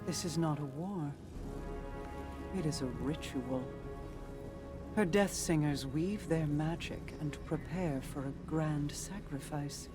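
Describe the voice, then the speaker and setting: A woman's voice: deep voice, takes it slowly. A woman voicing a solemn, ominous mystic in a video game, speaking in a formal, grave and portentous way. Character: solemn, ominous female mystic warning of dark rituals. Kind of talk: dramatic video game voice acting. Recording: music behind.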